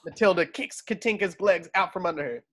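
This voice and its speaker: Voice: medium pitch, brisk. A narrator reading screenplay stage directions for a table read over a video call.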